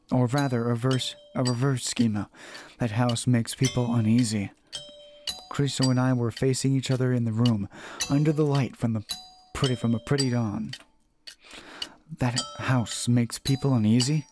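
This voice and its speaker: A man reading a visual novel aloud as dramatic narration, often stumbling and rereading his lines. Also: medium pitch.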